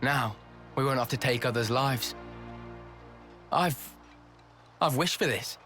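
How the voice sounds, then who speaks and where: A humble, grateful soldier, talking slowly in a mid-pitched voice. He is plain-spoken and sincere, in video game drama with clear music behind. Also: bright, forceful tone. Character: humble, grateful rank-and-file male soldier. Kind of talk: video game cutscene acting.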